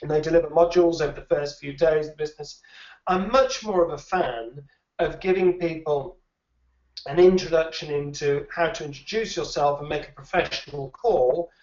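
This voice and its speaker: A man's voice: fairly high pitch, moderate pace, a little mellow. A man who trains recruiters answers interview questions in a thoughtful way, often repeating words as he thinks. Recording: dull, roomy, a little distant.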